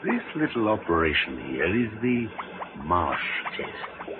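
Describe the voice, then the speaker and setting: An actor playing a grave, methodical country doctor, explaining things in a measured, hesitant way in an old radio drama. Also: male voice, low-pitched, big pitch swings, music in the background, full character voice. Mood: grave, deliberate. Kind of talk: 1950s radio drama acting. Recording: muffled, tinny old radio recording.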